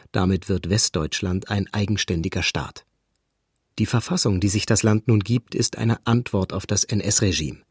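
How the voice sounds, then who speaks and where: Calm guy narrating a documentary, low husky voice, dry booth sound. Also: dry recording. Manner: calm, factual.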